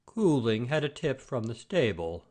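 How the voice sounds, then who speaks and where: An actor playing a proud, sullen gentleman gambler, curt and stubborn, in a satirical audio play. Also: mid-range voice, big pitch swings, a little slow.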